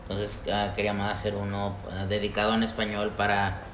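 Low-voiced Mexican-American man in a roomy echo. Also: completely flat delivery. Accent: Mexican-American Spanish, Spanglish. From United States. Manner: halting, many fillers, mixes English into Spanish. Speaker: podcast co-host. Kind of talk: casual bilingual chat. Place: workplace. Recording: roomy echo, faint background noise.

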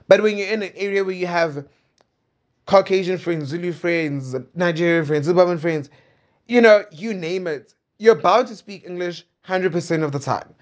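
A man in his twenties with a South African accent speaks in a fairly high voice. He talks as if recording a personal diary, casual, candid and conversational, with playful asides. The sound is a little dull and lo-fi. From South Africa, suburban Centurion. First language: Pedi. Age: 20s. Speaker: host of a personal diary podcast. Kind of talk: casual confessional monologue.

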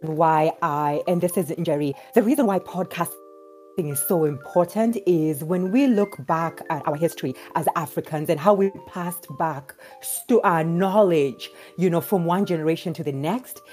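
A woman from Kenya talks on a live panel, articulate and earnest. Her voice is mellow and slightly low, with faint music underneath. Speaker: podcast host, panelist. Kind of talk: explanatory panel talk. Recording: live audio-app chat, faint music.

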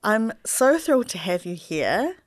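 A woman's voice: mid-range voice, very animated, measured pace, dry recording, studio quality. A woman hosting a casual podcast interview, friendly and laughing often. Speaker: podcast host.

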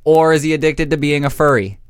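A man's voice: on the high side, a little fast, smooth, no echo, studio quality. A man hosting a podcast, running a guessing game with crude jokes. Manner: crude, joking, runs the game. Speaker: podcast host. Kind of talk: casual podcast banter.

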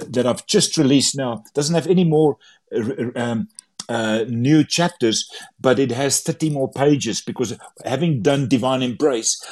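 An eager man with an Afrikaans accent, speaking English as his second language at an ordinary mid pitch. He chats freely as a guest, repeating and restarting words as his thoughts run ahead of him. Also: a little mellow. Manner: enthusiastic, many stumbling repeats and restarts. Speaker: author and Bible translator. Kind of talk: casual interview conversation.

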